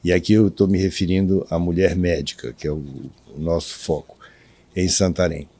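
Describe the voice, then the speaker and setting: A man's voice: low voice, fairly even intonation, normal speed, mellow. A man who is a physician hosting an interview, asking formal, courteous questions. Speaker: host, physician.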